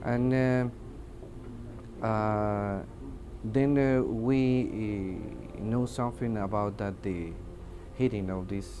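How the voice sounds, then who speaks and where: A man's voice: on the low side, fairly even intonation, slow pace. A man with a Mexican accent, a native Spanish speaker, giving testimony as an air traffic controller in hesitant, broken English, searching for words. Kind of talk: interview testimony in halting English. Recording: music under the voice. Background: music.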